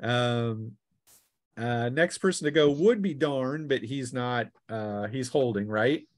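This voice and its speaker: A man with a medium-pitched voice runs a tabletop role-playing game. He narrates the action in a casual, halting way and drops in and out of rules talk as he goes. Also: no room sound, clean studio sound. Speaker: game master.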